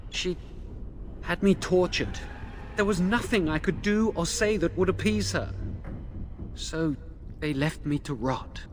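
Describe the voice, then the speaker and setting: A man's voice: high voice, a little slow, pauses often, slight background noise. An actor playing a nervous, remorseful young male mage held in a dungeon, in a video game. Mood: rueful. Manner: anxious, remorseful, pleading.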